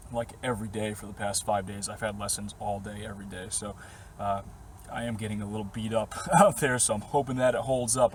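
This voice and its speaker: Slightly hoarse young man, rambling easily. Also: mid-range voice, slight background noise. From Boston area. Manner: rambling, easygoing, with short laughs and many fillers. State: slightly hoarse, losing his voice. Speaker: vlogger answering viewer questions. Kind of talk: casual chat to camera. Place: inside his parked camper van.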